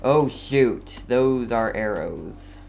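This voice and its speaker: A man's voice: mid-range voice, lively intonation, drawn-out words, vocal fry, darkish tone, slight background noise. A man giving casual gameplay commentary as a streamer, with dry, understated joking. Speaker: gamer, streamer.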